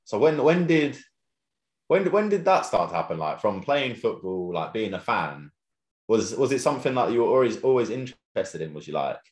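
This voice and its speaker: Host: man, deep voice swinging up and down, rambling, echoey room. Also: sing-song, normal speed, darkish tone. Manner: rambling, restarts questions, laughs easily. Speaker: podcast host. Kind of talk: casual interview chat. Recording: roomy echo.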